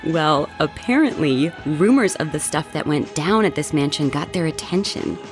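Actress as animated, gossipy young woman. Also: low-pitched, normal speed, soft background music. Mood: building suspense. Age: young adult. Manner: animated, teasing, dramatic storytelling. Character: excitable young woman roommate, gossipy, bold, scheming to party. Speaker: voice actor in audio drama. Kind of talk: horror audio drama dialogue.

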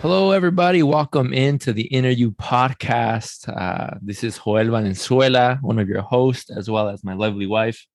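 A man's voice: mid-range voice, moderate pace, hardly any pauses. A man hosting a podcast in a casual, friendly, welcoming way, often repeating words and restarting as he goes. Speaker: podcast host. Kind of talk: casual conversational interview.

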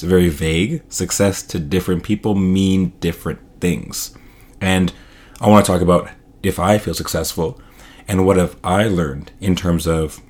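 A man hosting his own podcast in a casual, upbeat solo talk, his voice slightly hoarse. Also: deep voice. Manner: casual, upbeat, loose filler-heavy delivery. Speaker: podcast host.

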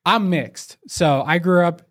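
A man's voice: sits high, unhurried. A man hosting a podcast, chatting casually with many fillers like "yeah" and "like". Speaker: podcast host. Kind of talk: casual interview conversation.

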